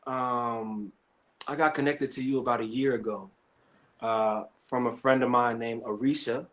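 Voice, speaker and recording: A man's voice: medium pitch, fairly even intonation, measured pace, fairly bright tone. Young guy from kenya, actor, easygoing slangy storyteller. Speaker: actor guest. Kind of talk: casual interview chat.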